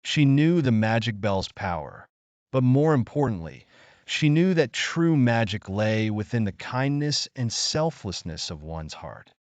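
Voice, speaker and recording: A man narrating a scripted children's story in a measured, earnest storybook manner. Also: medium pitch, sing-song, no room sound, lo-fi sound.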